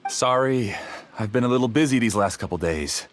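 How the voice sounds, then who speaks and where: A man's voice: mid-range voice, animated, moderate pace, a little hiss or hum, lightly acted. An actor playing a polite, scheming male researcher who talks courteously and a little theatrically in a video game. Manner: courteous, theatrical, laughs softly. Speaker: husband, researcher.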